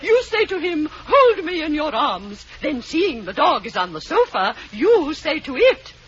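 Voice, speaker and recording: A woman's voice: high-pitched, very animated, a little slow, fairly bright tone, highs cut off, slight background noise, full character voice. An actress playing a fussy, theatrical female drama coach in an old radio comedy, recorded with a studio audience. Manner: theatrical, gushing, instructing. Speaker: drama coach running auditions. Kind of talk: 1940s radio comedy acting.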